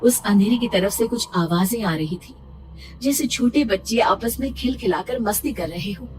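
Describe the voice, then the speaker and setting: A woman's voice: medium pitch, normal speed, lightly acted. A woman playing a frightened young pregnant woman, telling her horror story in a suspenseful, emotional, theatrical first-person style. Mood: suspenseful dread. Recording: distant-sounding voice under loud music. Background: loud background music.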